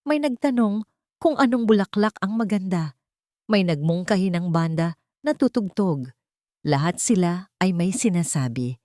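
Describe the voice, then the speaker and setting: Low-voiced woman narrating, dry and close. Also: animated, normal speed, mellow, no echo. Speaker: story narrator. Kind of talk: scripted dramatic narration of a love story.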